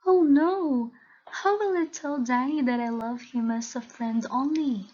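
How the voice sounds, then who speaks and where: A storyteller plays a gentle young cartoon woman. Her voice is very high, tender and hesitant, on a slightly dull lo-fi recording. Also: a little slow. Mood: hesitant, apologetic. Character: gentle, apologetic young woman, reluctant object of affection.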